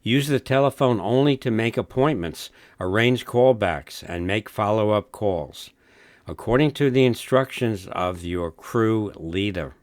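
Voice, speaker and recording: A man narrating a scripted instructional film in a measured, instructive manner. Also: on the low side, expressive, no echo, clean studio sound.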